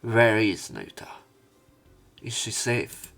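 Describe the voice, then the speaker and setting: A man with a lowish voice does a let's play of a visual novel. He reads the text aloud theatrically and breaks off for his own commentary. His delivery is expressive. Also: slow pace, creaky, soft background music. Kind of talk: dramatic read-aloud with commentary.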